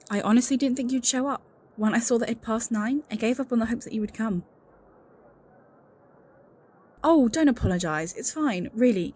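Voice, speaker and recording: A woman's voice: on the high side, a little mellow, husky. A woman playing an anxious, suspicious secretary in an audio roleplay, speaking in an earnest, confiding and insistent way. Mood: nervously welcoming. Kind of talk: scripted audio roleplay acting, one-sided conversation.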